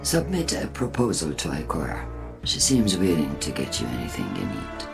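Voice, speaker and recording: An actor playing a haughty, suspicious city leader in a game cutscene, curt, formal and disdainful. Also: male voice, rumbling low, huge pitch swings, moderate pace, gravelly, music in the background, heavily acted. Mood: cold, guarded. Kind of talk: dramatic game cutscene acting.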